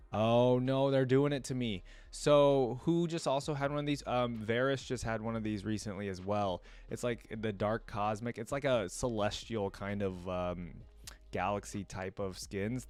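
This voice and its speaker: A man's voice: lowish voice, darkish tone, clean tone, no room sound. A man hosting a reaction video, talking casually and thinking aloud as he addresses his viewers.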